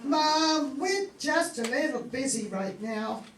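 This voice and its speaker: Live comic radio play: a woman as a frazzled, embarrassed young mother, unhurried delivery, miked from afar. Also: high voice, lively intonation. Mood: flustered. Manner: exasperated, pleading. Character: Mary, exasperated young mother embarrassed by her parents. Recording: distant echoey room, some noise.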